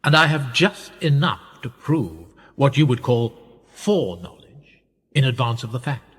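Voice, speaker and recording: An actor playing a sly, persuasive older conspirator in a radio play, theatrical and insinuating, with husky, drawn-out, often hushed phrases. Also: male voice, medium pitch, sing-song, heavily acted. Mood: intent. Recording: echoey hall-like reverb.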